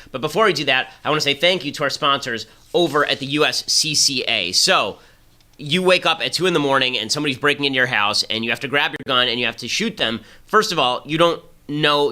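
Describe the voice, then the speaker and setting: A man hosting a political podcast, delivering rapid, emphatic, argumentative commentary. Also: medium pitch, pushed and bright. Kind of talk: fast political commentary and ad read.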